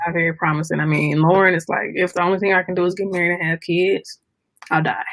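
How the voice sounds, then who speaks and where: Lower-pitched woman in casual, thoughtful discussion. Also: fairly even intonation, fairly bright tone. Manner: thoughtful, halting, searching for words. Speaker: book club discussion host.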